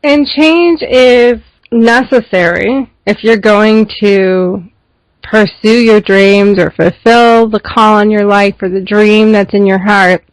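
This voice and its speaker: Woman, podcast host, measured and thoughtful, dry close mic, mid pitch. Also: dry recording, poor audio. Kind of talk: interview podcast.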